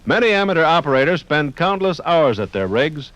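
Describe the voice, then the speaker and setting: A man's voice: a little high, sing-song, a little fast, a bit bright, dry recording. An American man reading scripted 1950s radio documentary narration in a formal, explanatory announcer's manner. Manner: clear explanatory phrasing, formal announcer delivery.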